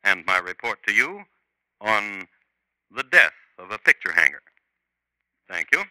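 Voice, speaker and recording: Old radio true crime host, ironic and theatrical, low but super crisp bright tone. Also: male voice, big pitch swings, measured pace, no echo, heavily acted, rough recording. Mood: wry, ominous. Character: wry male true-crime storyteller, formal and dryly ironic. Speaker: host and narrator. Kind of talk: 1950s radio crime narration. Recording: vintage 1950s radio recording.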